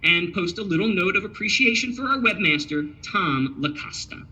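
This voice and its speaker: A man's voice: sits high, lively intonation, moderate pace, bright, forceful tone, breathy edge, highs cut off. A man giving a scripted history lecture, emphatic and sprinkling in Italian words.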